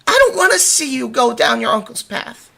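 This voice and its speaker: A woman's voice: a little high, very animated, moderate pace, creaky, bright, forceful tone, full character voice. A woman playing a stern, worried mother in an audio drama, questioning her teenage son with exasperation. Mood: exasperated, worried. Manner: scolding, pointed questioning. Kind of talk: amateur audio drama roleplay.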